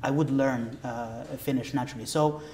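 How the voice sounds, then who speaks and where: A man's voice: mid-range voice, steady tone, normal speed, warm tone. Young man with an Arabic mother tongue, speaking articulately. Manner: articulate, many "uh" and "you know" fillers. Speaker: interviewer. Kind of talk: conversational interview.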